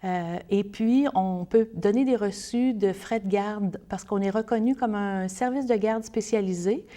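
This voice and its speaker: A woman's voice: mid-range voice, a little slow, dark tone. Québécois woman explaining earnestly. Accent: Québécois French. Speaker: interview guest, spokesperson for a children's service. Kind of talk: explanatory interview answers.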